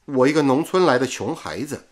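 Actor as a husky, teasing, animated young man. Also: medium pitch, brisk, natural voice. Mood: baffled, wry. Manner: teasing, exclamatory. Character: teasing, carefree young radio man from a poor village. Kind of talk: Mandarin radio drama acting.

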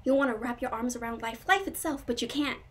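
A woman's voice: fairly high pitch, fast talker, natural voice. A woman performing a stage monologue as a whimsical, melancholy dreamer, quirky, chatty and effusive as she confides. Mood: dreamy, gushing. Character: whimsical, dreamy, melancholy woman, swinging between joy and sadness.

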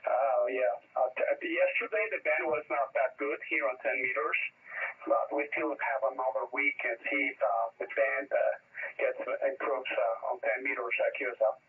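A man's voice: mid-range voice, fairly even intonation, moderate pace, phone-quality sound. Thin, distant radio-voiced man. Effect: shortwave radio transmission. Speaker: amateur radio operator. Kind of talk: casual ham radio chat with call signs and phonetic spelling.

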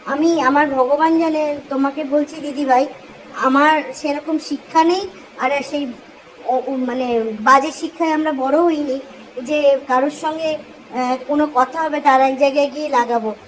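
A woman speaking informally in a voice message, explaining herself earnestly and haltingly. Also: high-pitched, steady tone. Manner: earnest, self-justifying, halting. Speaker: caller leaving a voice message. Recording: noisy amateur voice message recording.

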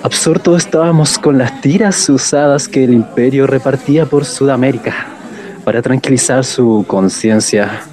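A man's voice: medium pitch, normal speed, darkish tone, natural voice. A man playing a lonely, wounded character who recalls childhood in reflective, literary radio drama monologue. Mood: wistful, wounded.

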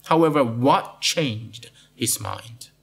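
Animated pastor asking "right?" constantly. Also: male voice, mid-range voice, slow, vocal fry, slightly rough. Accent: Malaysian. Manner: asks "right?" after most points, repeats words. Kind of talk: sermon. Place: studio with plain blue backdrop.

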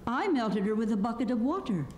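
A woman's voice: on the high side, animated, brisk, darkish tone, pitched above the performer's own voice, natural voice. A woman voicing an earnest, bold young girl who speaks in an insistent, forthright way in a storybook reading. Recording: long hall reverb, clear background noise.

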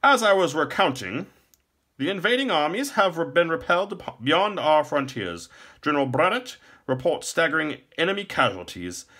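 A man's voice: fairly high pitch, big pitch swings, normal speed, sharp tone, pitched above the performer's own voice, full character voice. A man voicing a young minstrel who plays royal courtier in a curt, declamatory, courtly way. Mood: formal reporting.

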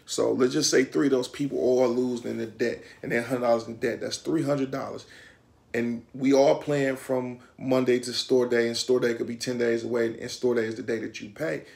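A man's voice: medium pitch, little pitch movement, normal speed, creaky, darkish tone. A middle-aged man with a Detroit accent, talking to the camera in a small room at home, giving streetwise advice with lots of hand gestures. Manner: streetwise, instructive, gestures a lot with his hands. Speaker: vlogger. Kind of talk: casual talk to camera.

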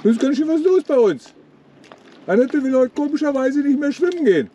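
A man's voice: lively intonation, fairly quick, dark tone. A man in his sixties narrating a casual sailing vlog from a boat in a lagoon, teasing his partner as he films. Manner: wryly teasing, swings widely in pitch. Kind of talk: casual running commentary while filming. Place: outdoors on a sailboat in a lagoon. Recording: outdoor wind and water noise.

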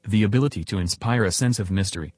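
Husky, earnest chatbot acted out by a man. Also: lowish voice, fast, a little mellow, dry recording. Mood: earnest, measured. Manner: succinct, matter-of-fact answers. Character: earnest, philosophical male chatbot being interviewed.

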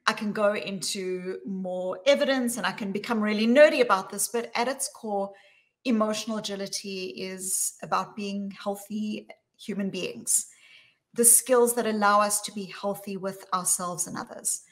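Woman, forties, South African accent, video-call guest, measured and emphatic, slows down on key points. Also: mid-range voice. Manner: measured and emphatic, slowing to stress key words. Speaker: guest speaker. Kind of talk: talk on workplace wellbeing. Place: small room with plain wall.

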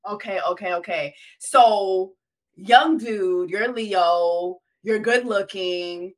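A woman's voice: medium pitch, slow. A woman interviewing a guest in a playful, chatty way. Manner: playful, expressive questioning. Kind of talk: casual interview chat.

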